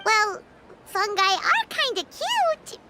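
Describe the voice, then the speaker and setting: A woman voicing a tiny, excitable, helpful floating fairy girl in a video game, with a childlike manner. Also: extremely high pitch, slow, slight background noise, heavily acted. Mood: pondering. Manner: childlike, talks of self in third person. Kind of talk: animated video game character acting.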